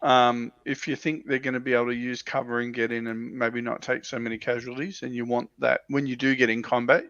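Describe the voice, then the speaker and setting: A man's voice: mid-range voice, flat delivery, fairly quick, hardly any pauses, fairly bright tone, dry recording, lo-fi sound. A man chatting casually as a panelist on a livestream, talking in a hesitant way that often doubles back on itself. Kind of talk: casual chat. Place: livestream call.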